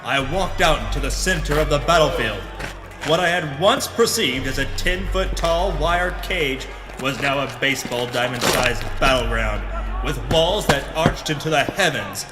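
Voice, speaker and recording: A man playing a pompous hard-boiled adventurer, narrating in the melodramatic style of a pulp radio serial. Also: a little high. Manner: melodramatic, grave, deliberate hard-boiled delivery. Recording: reverberant voice over background music.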